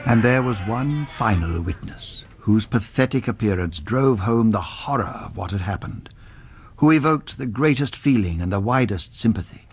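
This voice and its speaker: An actor playing a grave narrator, telling a historical trial in dramatic scripted radio narration with a husky voice. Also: male voice, lowish voice, lively intonation, moderate pace, natural voice.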